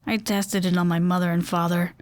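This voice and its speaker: Woman reading a story, lowish voice, wry and lively, dry sound. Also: fairly quick, no echo. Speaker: story reader. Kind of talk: scripted first-person narration.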